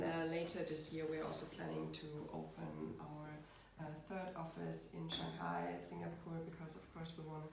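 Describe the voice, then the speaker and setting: Woman, gravelly, slightly low, halting speech, very roomy and far off. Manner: halting, frequent fillers. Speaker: company representative presenting her firm. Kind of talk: informal company presentation. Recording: echoey room, far from the mic, background noise.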